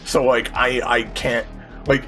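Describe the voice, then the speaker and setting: A man's voice: mid-range voice, steady tone, slow, fairly bright tone, soft background music. A man chatting informally as a player in a tabletop game, swearing and repeating himself as he reasons aloud. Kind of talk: casual game-table chat.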